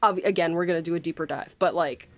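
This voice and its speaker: Low-voiced woman in casual, halting chat. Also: steady tone, a bit bright. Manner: halting, informal, peppered with "like". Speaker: writer and co-host of a behind-the-scenes podcast.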